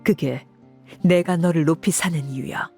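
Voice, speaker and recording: An actress playing a stern woman leader, speaking in a measured, formal, authoritative way in a dramatic game scene. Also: on the low side, creaky, darkish tone. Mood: stern, resolute. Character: stern, commanding woman leader of an orphanage, called Father. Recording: music under the voice.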